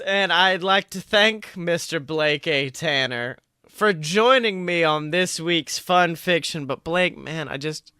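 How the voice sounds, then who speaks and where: A man with a high, bright voice hosts a casual podcast. He sounds emphatic and playful, a bit of a showman, in a dry, close recording. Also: clean tone, dry recording. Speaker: podcast host.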